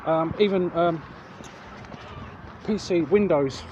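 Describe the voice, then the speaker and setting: Higher-voiced man rambling amid street noise. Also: takes it slowly, mellow, lo-fi sound. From England. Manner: hesitant, self-correcting, repeats words. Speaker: vlog host. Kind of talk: casual rambling chat to viewers. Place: outdoors, walking along urban streets. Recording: outdoor recording with traffic noise.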